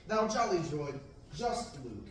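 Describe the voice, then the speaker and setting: A man's voice: fairly high pitch, sing-song, slow, slight background noise. A man reading an eager young farm boy in declamatory Shakespearean verse at an amateur table reading. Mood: yearning. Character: restless young farm boy dreaming of adventure. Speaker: table-read actor. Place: large classroom, read from a table. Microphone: far room camera. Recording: echoey room, distant mic.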